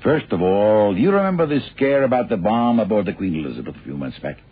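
An anxious, brisk official in an old radio thriller. His low voice is very rough and husky, swinging emphatically as he explains, through a thin, narrow-band recording. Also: very animated. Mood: urgent, grave. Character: worried, brisk male official briefing investigators. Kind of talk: 1970s radio crime drama acting.

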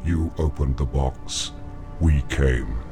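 A demon lord rumbles out of the dark in a horror radio play, his voice sunk extremely low and thick with gravel. He speaks slowly and coldly. Loud ominous music swells behind him. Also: full character voice. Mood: menacing. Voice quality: deep gravelly growl. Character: monstrous male demon lord, cold and menacing.

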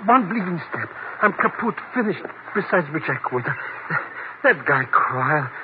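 A man's voice: on the high side, big pitch swings, measured pace. An actor playing a nervous, whiny foreign sidekick in a 1950s radio drama, stammering and mangling his English. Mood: complaining. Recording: very noisy, tinny old radio recording.

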